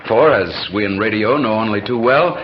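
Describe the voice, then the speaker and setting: An American man narrating a scripted 1940s radio program in formal, measured oratory. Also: fairly low pitch, a little hiss or hum. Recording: muffled 1940s radio broadcast with music. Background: music.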